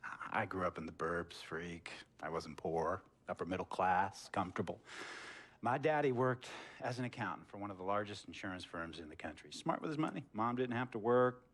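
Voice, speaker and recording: An actor playing an eccentric, wealthy, middle-aged boss in a video game cutscene, lecturing in a theatrical storytelling manner that swings between hushed and emphatic. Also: male voice, deep voice, moderate pace. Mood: reflective. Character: eccentric wealthy sports boss, preachy, slick, controlling man. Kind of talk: dramatic game cutscene monologue.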